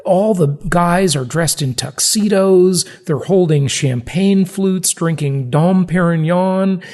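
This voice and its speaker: A man's voice: high-pitched, slow pace, a little mellow, long reverb tail. An American man hosting a podcast in a conversational, salesy way, repeating phrases for emphasis. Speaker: podcast host, real estate investing.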